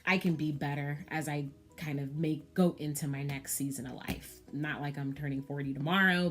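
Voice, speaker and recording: Low-voiced woman in her thirties, candid. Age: 30s. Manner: candid, chatty, frequent "um" and "you know". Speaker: planner vlogger. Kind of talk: casual chat to camera.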